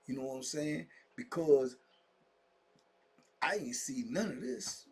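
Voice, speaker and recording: A man's voice: medium pitch, slow pace, a little mellow, breathy edge. A man in his fifties telling his own story to camera in a casual monologue, full of street slang and fillers like "man" and "you dig", sometimes dropping to a whisper. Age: 50s.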